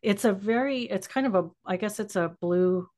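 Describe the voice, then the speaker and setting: A woman's voice: mid-range voice, moderate pace, darkish tone. A middle-aged American woman in a reflective podcast conversation, searching for words and letting thoughts trail off. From Texas, United States. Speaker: podcast host.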